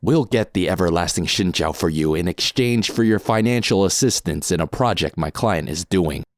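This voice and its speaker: An actor playing a calm masked mercenary in an audio drama, measured, unhurried and coolly threatening. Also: male voice, low-pitched, no room sound, clean studio sound. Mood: calm, commanding. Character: calm masked mercenary man, cool and menacing.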